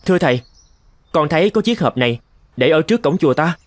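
A man's voice: a little high, lively intonation, fast, natural voice. A man voicing a young novice monk in a cartoon folk tale, polite, earnest and deferential to his master. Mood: respectful. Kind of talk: animated folk tale dialogue.

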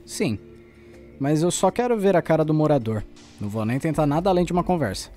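A man's voice: a little high, lively intonation, fairly quick, dark tone, soft background music, lightly acted. A Brazilian man acting in an audio drama as a bold, determined journalist who talks in an insistent, colloquial way. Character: determined male journalist, bold, stubborn investigator.

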